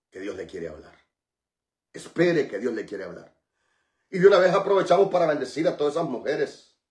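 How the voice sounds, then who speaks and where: A man's voice: mid-range voice, reverberant. A man hosting a radio prayer program, praying fervently with repeated invocations and chatting with listeners between prayers. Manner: fervent repetitive praying, then chatty and joking. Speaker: radio pastor.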